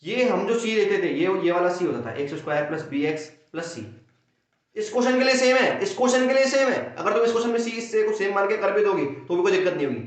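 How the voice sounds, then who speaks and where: A young man from India teaching a maths exam-prep lesson with a sore throat, energetic and playing both himself and his students. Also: high voice, quick pace. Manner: energetic, acts out students' questions and answers himself. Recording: echoey room, dull lo-fi sound.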